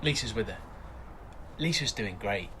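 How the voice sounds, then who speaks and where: A man's voice: on the low side, lively intonation, measured pace, bright, forceful tone, slight background noise. An actor playing a reserved father in an audio drama, speaking in brief, casual, reticent phrases. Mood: subdued. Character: reserved, worried father chatting with his neighbour.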